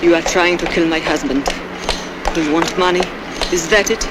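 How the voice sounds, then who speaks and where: An actress playing a desperate wife. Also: female voice, on the low side, steady tone, moderate pace, heavy background noise, natural voice. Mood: urgent. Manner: urgent, pleading, defiant. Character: desperate Hungarian wife defending her husband. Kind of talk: 1960s TV crime drama acting. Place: outdoors in a city alley.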